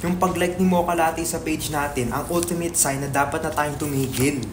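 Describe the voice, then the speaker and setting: A man's voice: fairly high pitch, fairly even intonation, normal speed, a little hiss or hum, natural voice. A man playing a doubtful, guilt-ridden young writer in a dramatic script reading, reluctant and questioning. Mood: uneasy.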